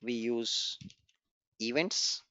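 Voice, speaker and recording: A man's voice: mid-range voice, extremely slow, pushed and bright, dry recording. A man whose first language is Gujarati teaches a live online coding class, encouraging his students and repeating the key points as he walks through the code step by step. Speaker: programming instructor. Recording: dry, dull lo-fi online class recording.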